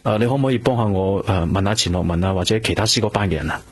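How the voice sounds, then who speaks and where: An actor playing an earnest police detective in a radio drama, speaking Hong Kong Cantonese in a polite, hesitant, natural way. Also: male voice, sits low, racing. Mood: polite, probing. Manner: polite, hesitant, conversational questioning. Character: earnest male police detective, self-reproaching, gentle with companion. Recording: clear background noise with hum.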